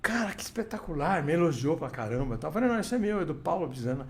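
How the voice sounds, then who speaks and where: An older man, telling anecdotes in a casual interview. His voice sits a little high and moves a lot. He restarts his sentences often and acts out the quoted lines. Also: rapid-fire. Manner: animated anecdotes, many restarts and quoted dialogue. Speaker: interview guest, radio veteran.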